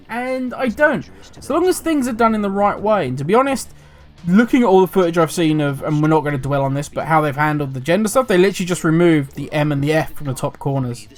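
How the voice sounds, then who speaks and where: A fairly high-voiced man runs his gaming channel with lively swings in pitch. He jumps between loud outbursts and quiet self-mocking mutters while he reads an article aloud. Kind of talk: casual gaming commentary, reading an article aloud.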